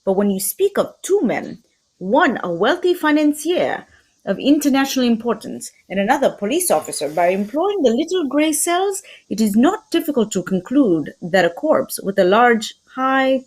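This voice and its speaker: A woman's voice: high voice, sing-song, normal speed, darkish tone, husky, heavily acted. A woman playing a composed, self-assured male detective, speaking precisely and theatrically in an old-time radio mystery. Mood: patient, explaining.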